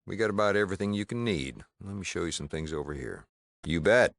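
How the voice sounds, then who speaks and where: An actor playing a gruff, plain-spoken mayor and shopkeeper of a frontier town in a video game, with an American accent and a wry, folksy drawl. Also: male voice, animated, fast talker, no room sound, clean studio sound. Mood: folksy, affable. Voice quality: deep, gravelly. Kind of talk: video game dialogue acting.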